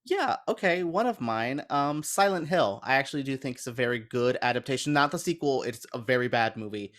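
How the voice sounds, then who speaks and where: A man talking casually as a podcast guest. His voice is medium in pitch and very animated, rising and falling often as he gives his opinions. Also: brisk. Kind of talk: casual film and game discussion chat.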